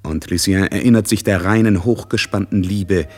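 An actor narrating a German radio play as a wryly observant storyteller, in scripted literary style. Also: male voice, sits low, normal speed, a little mellow, soft background music, lightly acted. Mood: observant, measured.

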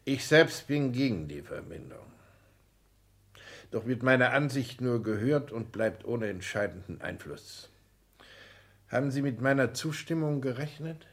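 An actor playing an older, reserved and haughty count in a 1960s German radio play, speaking in formal, measured, aristocratic diction. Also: male voice, medium pitch, animated. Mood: cool, formal.

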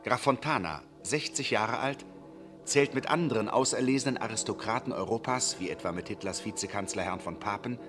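A man's voice: on the low side, fairly quick, music in the background. A man giving scripted narration in a 1960s radio drama, in a dry, ironic tone.

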